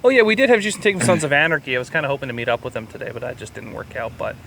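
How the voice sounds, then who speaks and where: A man's voice: medium pitch, sing-song, rapid-fire, fairly bright tone. A man co-hosting a casual film review podcast, chatting irreverently and swearing freely. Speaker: podcast co-host. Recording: clear background urban ambient noise.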